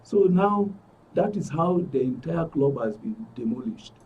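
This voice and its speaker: A man with a Nigerian accent and a husky voice giving an emphatic account as an interview guest, repeating phrases for stress. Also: a little high, expressive, unhurried, far from the mic, lo-fi sound, a little hiss or hum. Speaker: interview guest, club member. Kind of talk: spoken account in a broadcast interview.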